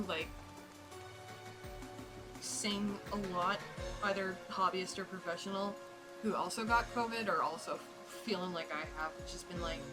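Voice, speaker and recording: Chatty young woman, a touch raspy. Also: medium pitch. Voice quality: slightly raspy. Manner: rambling, chatty, self-interrupting with frequent fillers. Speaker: streamer. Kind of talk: casual chat while drawing on stream. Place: home streaming setup.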